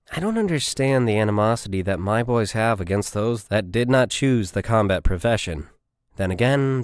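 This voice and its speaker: A man's voice: fairly low pitch, a little mellow, dry recording, studio quality. A man reading a scripted military science fiction story as an audiobook narrator.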